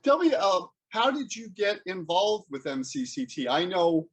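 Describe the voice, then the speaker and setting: Husky, higher-voiced American male interviewer. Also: big pitch swings. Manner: rambling, halting questions. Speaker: interviewer and host, community theater member. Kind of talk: casual conversational interviewing.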